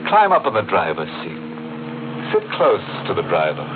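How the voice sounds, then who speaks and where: A man's voice: deep voice, wildly swooping pitch, noisy background. A man playing a gleefully macabre horror show host in a theatrical, mock-welcoming 1950s radio style. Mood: ominous relish. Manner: theatrical, mock-welcoming, drawn-out punning delivery. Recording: muffled 1950s radio recording with music.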